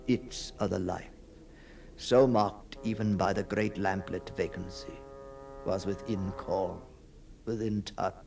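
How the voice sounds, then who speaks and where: Slow, gravelly haunted gentleman. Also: low-pitched, steady tone, over music, exaggerated voice. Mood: brooding, desolate. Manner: theatrical, halting, often dropping to breathy whispers. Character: haunted, obsessive gentleman narrating his own ghostly encounter. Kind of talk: dramatic first-person monologue, radio ghost story.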